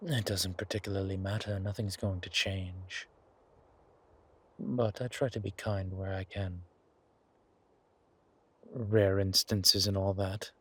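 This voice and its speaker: A man playing a suave, menacing, hungry vampire in an ASMR horror roleplay, with a softly taunting, drawn-out delivery. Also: deep voice, steady tone, slightly rough, full character voice. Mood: hushed menace. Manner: slow, drawn-out, softly taunting, often whispering.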